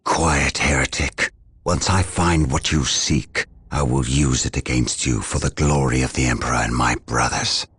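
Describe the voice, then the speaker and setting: A man playing a solemn, proud scholar among space marines in a video game, speaking in a measured, formal way. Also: very deep voice, fairly even intonation, a bit bright, breathy edge, no room sound, full character voice. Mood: proud, defiant.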